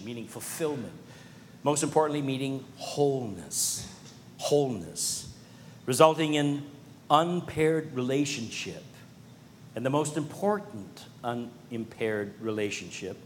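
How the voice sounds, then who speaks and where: A man preaching a sermon from the pulpit. His delivery rises to emphatic points and falls to hushed, earnest lines. His voice carries a long reverb from a large hall. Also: mid-range voice, a little hiss or hum. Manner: preaching with swells of emphasis and quiet drops. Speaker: pastor.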